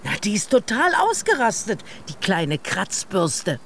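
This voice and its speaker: A woman playing a breezy, amused, caring aunt in a German radio drama, talking in a brisk, colloquial, motherly way. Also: mid-range voice, huge pitch swings, a little hiss or hum.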